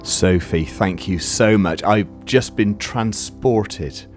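A man's voice: on the low side, takes it slowly, music in the background. A man interviewing an author on the radio, full of effusive admiration.

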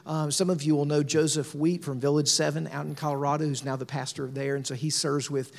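A man's voice: a little high, little pitch movement, brisk, vocal fry, long reverb tail. A man, a pastor, introducing a guest speaker and leading a spoken prayer in a church room, with earnest fillers. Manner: warm, earnest, many fillers.

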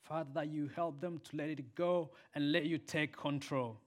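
A man preaching a sermon and leading a prayer, a native Swahili speaker who swings from loud emphatic peaks to soft quiet lines. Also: fairly high pitch, steady tone, moderate pace.

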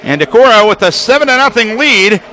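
A male American radio announcer doing live football play-by-play. His voice sits high and has a bright, forceful edge. He lays out the action in precise, clipped phrases. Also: expressive, a little fast, slight background noise. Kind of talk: live sports play-by-play commentary.

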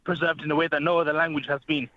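A man's voice: fairly high pitch, flat delivery, very fast. A man speaking as a guest on a radio show, explaining at length in an earnest, fluent way. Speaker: phone-in guest. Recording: dull phone-line sound with faint hiss.

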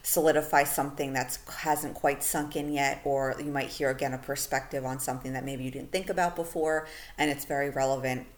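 A woman's voice: a bass voice, steady tone, a little fast. A woman hosting a solo podcast in a casual, chatty way, full of "you know" and "like", while she is losing her voice. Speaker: podcast host.

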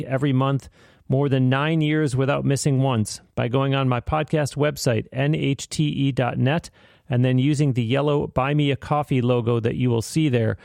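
A man hosting a podcast, reading an outro in an earnest, carefully enunciated, formal way with some gravel in his voice. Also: mid-range voice, a little mellow, no room sound, studio quality. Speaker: podcast host. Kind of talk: scripted podcast outro narration.

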